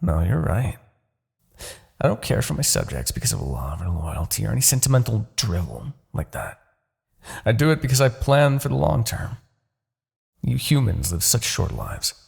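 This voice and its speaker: A man playing an immortal demon king, a smug, coldly persuasive villain with a gravelly, husky voice and a theatrical, condescending manner, in a fantasy roleplay audio. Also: lowish voice, a little mellow, exaggerated voice. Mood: smug, scornful. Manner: theatrical, condescending, lingering on words. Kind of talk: fantasy ASMR roleplay acting.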